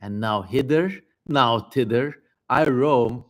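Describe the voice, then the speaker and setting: A man acts out a fierce female demon from an ancient tale. She is haughty and defiant, declaiming theatrically. The delivery is slow and deliberate, at a medium male pitch. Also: lightly acted. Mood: menacing, boastful. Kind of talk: dramatic reading of an ancient text.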